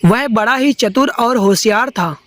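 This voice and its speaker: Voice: mid-range voice, measured pace, no room sound. A narrator reading a scripted children's story.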